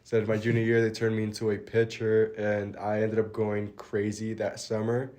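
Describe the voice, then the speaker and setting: A young man from the Dominican Republic, a college baseball pitcher, answering interview questions in a relaxed, plainspoken way and letting his phrases trail off quietly. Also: normal speed. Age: young adult.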